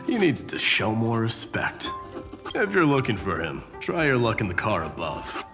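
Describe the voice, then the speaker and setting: A man's voice: fairly low pitch, wildly swooping pitch, normal speed. A man playing an easygoing, dryly amused warrior in a video game, with a laid-back manner. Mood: wry. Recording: music behind the voice.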